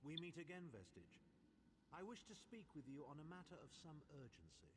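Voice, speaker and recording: An actor playing a haughty, sardonic older chancellor in a video game, speaking formally and with dry sarcasm. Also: male voice, a little high, big pitch swings, fairly quick. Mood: grave, urgent. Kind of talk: video game character voice acting. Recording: clearly noisy game capture with ambience.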